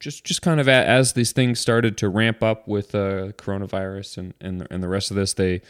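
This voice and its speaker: Deep-voiced relaxed male podcast host. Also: normal speed, smooth, dry recording, studio quality. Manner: relaxed, rambling, frequent restarts. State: slightly congested. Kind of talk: casual podcast chat.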